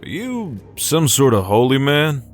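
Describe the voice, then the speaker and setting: An actor playing an easygoing gunslinger outlaw with an American accent and a folksy manner in a fantasy audio drama. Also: male voice, medium pitch, sing-song, a little slow, soft background music. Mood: laid-back, curious. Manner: laid-back, folksy.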